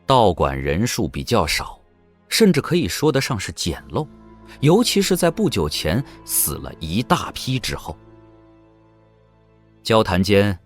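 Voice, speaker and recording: A man reading scripted, dramatic narration for a fantasy audio drama. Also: on the low side.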